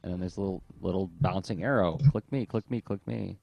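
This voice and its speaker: Lowish-voiced man hosting a casual podcast. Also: fairly quick, creaky, warm tone. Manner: thinks aloud, rephrasing questions mid-sentence. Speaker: podcast host, interviewer. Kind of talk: casual conversational interviewing.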